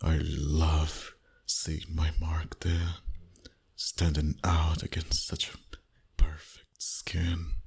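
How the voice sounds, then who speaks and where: Very deep, breathy seductive vampire played by a man. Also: completely flat delivery, a little mellow, exaggerated voice. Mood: admiring, tender. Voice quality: breathy, husky, often whispered. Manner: slow, intimate, teasing. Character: seductive, dominant, teasing male vampire boss. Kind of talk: ASMR romantic roleplay.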